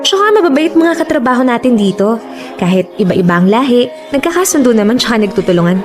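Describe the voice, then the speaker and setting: High, lively, chatty young office woman. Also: fast. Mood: friendly, welcoming. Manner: breezy slangy teasing. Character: chatty, teasing, friendly young female office coworker. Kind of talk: radio drama acting. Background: faint music.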